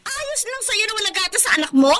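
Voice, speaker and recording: A woman's voice: very high voice, big pitch swings, quick pace, noisy background. An actress playing a young mother and mistreated wife in a radio drama, pleading and timid. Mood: pleading anger.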